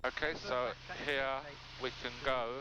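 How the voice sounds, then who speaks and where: A man's voice: medium pitch, fairly even intonation, measured pace, muffled recording, noisy background. A man in his forties chatting casually as a pilot, on a headset mic in a light aircraft cockpit. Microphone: aviation headset boom mic.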